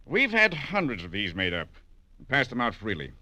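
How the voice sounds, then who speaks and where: A man's voice: medium pitch, wildly swooping pitch, normal speed, pushed and bright, no echo, full character voice. An actor playing a cold, suave, wealthy man under suspicion in a 1940s radio drama, haughty and dismissive. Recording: dull vintage radio recording.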